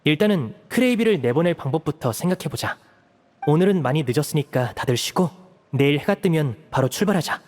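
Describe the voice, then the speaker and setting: A man voicing a composed, protective young elder brother in an anime-style game, explaining things in a measured, serious way. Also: fairly high pitch, animated, darkish tone, lightly acted. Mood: resolute. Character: composed young magician, protective eldest brother, earnest strategist.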